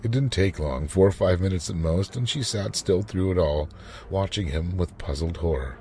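A man narrating a scripted story in a measured, dramatic manner. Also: low-pitched, dark tone.

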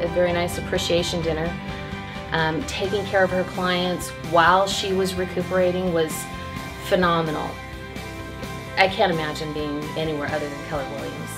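Lower-voiced woman, appreciative, guitar music. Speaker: real estate agent. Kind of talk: testimonial interview. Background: guitar music in the background.

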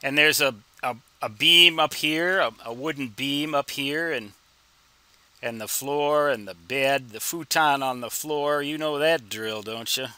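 Very bright-voiced man giving an informal tutorial. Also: medium pitch, clean tone. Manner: wry, folksy humor, deliberate phrasing. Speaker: instructor answering reader questions.

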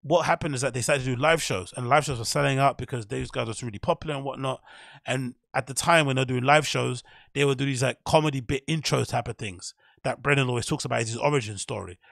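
Man, podcast host, casual rambling commentary, self-correcting, gravelly, dry close mic. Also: medium pitch, fast, fairly bright tone, no echo.

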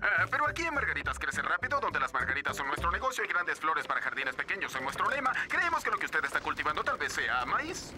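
Cartoon dub role: folksy male seed salesman, courteous rambler, very lively pitch swings, music underneath. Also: fast, hardly any pauses. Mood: chatty, promotional. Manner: rambling, slogan-reciting, courteous. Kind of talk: animated children's cartoon dub.

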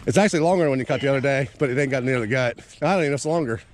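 A man from Ohio giving casual, excitable play-by-play while ice fishing, recorded outdoors on a frozen pond with a body-worn camera. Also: mid-range voice, racing. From Ohio, USA. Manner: chatty, excitable, swears casually. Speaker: fishing video host. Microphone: body-worn action camera. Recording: outdoor camera audio with steady noise.

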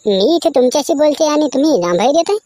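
A woman playing a sharp-tongued, nagging wife in comic cartoon skit dialogue. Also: high voice, animated, quick pace. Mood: complaining.

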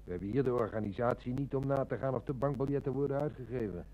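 A man's voice: medium pitch, fast, mellow, muffled recording, close to an everyday voice. A middle-aged man acting a seasoned, confident police inspector in a Dutch radio play. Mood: self-assured.

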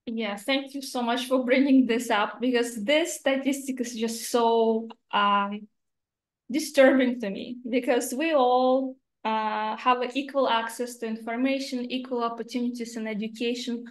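Woman, Russian accent, thirties, higher voice, earnest halting talk, echoey video call. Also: fairly even intonation. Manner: earnest, slightly halting phrasing. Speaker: guest investor. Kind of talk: remote interview. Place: home office indoors. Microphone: laptop camera on video call. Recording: roomy video-call audio.

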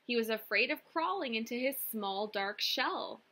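A young woman reading a picture book aloud to children, with deliberate, expressive phrasing, recorded indoors on a webcam. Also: a little high. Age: young adult. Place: small indoor room with classroom wall displays.

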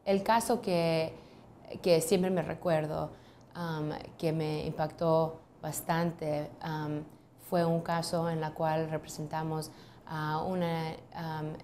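A woman's voice: low-pitched, warm tone, slight background noise. A woman in her thirties, a lawyer, answering interview questions in an office, hesitant with many ums and restarts. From United States. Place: office, seated at a desk.

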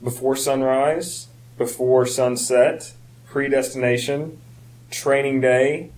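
A man's voice: medium pitch, fairly even intonation, slow pace, a little mellow, low-quality recording. A man co-hosting a podcast in casual, bantering talk. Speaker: podcast co-host.

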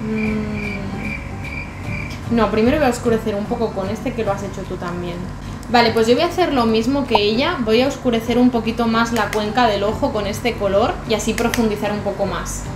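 A woman's voice: a little high, moderate pace. A young woman with a Spain Spanish accent, chatting casually through a makeup tutorial as a beauty vlogger. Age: young adult. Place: small bright bedroom, indoors. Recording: echoey room with background music.

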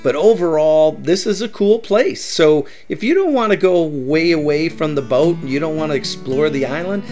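A man's voice: fairly high pitch, normal speed, darkish tone, faint music behind. A middle-aged man hosting a travel vlog, giving upbeat tips and often saying "that's right". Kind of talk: enthusiastic travel guide narration.

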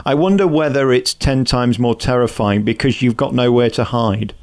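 A male radio host with a mid-pitched voice, asking questions in a relaxed, teasing manner. He sounds like he is speaking on a broadcast radio show. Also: moderate pace. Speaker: radio interviewer. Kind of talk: chat show interview.

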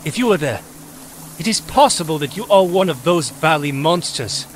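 Haughty refined elf played by a man, highish voice. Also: expressive, normal speed, a little hiss or hum, close to an everyday voice. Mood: haughty disdain. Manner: disdainful, formal. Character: haughty, disapproving silver-haired male elf. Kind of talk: acted audiobook dialogue.

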